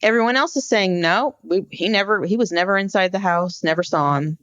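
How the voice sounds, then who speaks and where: A woman's voice: medium pitch, a little fast, a bit bright, no room sound, dull audio. Woman podcaster telling a story, close and dry. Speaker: podcast co-host. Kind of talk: conversational true-crime case discussion.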